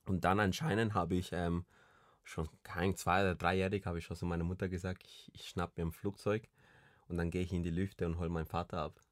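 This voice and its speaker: Tiefe Stimme, junger Mann aus Kolumbien, trockener Klang, lockeres Plaudern mit vielen Füllwörtern. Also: male voice, deep voice, level tone, a little fast, no echo, clean studio sound. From Colombia. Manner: rambling, many restarts and fillers. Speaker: podcast co-host. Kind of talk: casual chat.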